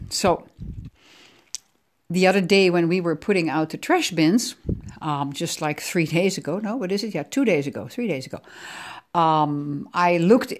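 A middle-aged woman from the Netherlands with a Dutch accent, hosting her own podcast in a chatty, rambling way, sore from aching ribs. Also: on the low side. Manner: chatty, rambling, self-correcting. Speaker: podcast host. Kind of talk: casual solo podcast monologue.

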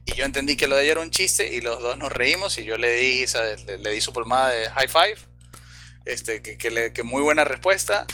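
A man's voice: medium pitch, animated, normal speed, pushed and bright, soft background music. A man from Venezuela hosting a casual podcast chat, rambling with many fillers and restarts.

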